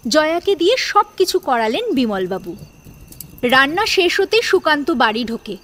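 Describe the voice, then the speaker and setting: A woman narrating a scripted domestic drama as a storyteller, with an expressive, dramatic delivery. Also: high voice, moderate pace. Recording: music behind the voice.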